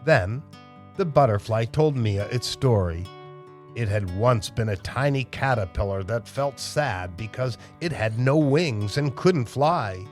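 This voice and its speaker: A man reading aloud as a children's storytime host, animated and emphatic as he addresses young listeners. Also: medium pitch, measured pace, faint music behind. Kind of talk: expressive children's read-aloud narration.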